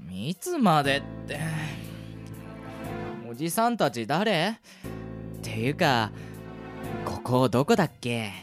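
Woman playing an animated, naive young boy hero. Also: extremely low pitch, takes it slowly, long pauses, music in the background, full character voice. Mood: sleepy, confused. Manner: dramatic, plaintive, childlike. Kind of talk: anime-style amateur radio drama acting.

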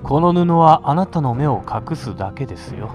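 A man's voice: mid-range voice, very animated, normal speed, darkish tone, soft background music, natural voice. A man acting a polite, taunting interrogator's accomplice in an amateur radio drama. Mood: polite menace. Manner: courteous, taunting. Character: smoothly polite male interrogator, menacing accomplice.

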